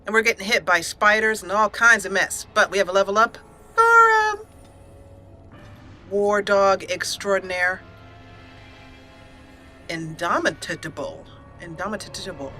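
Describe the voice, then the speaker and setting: A woman's voice: mid-range voice, animated, measured pace, pauses often, sharp tone, soft background music. A woman hosting a let's play, chatting playfully through her gameplay, laughing often and reading game text aloud. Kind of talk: gameplay commentary.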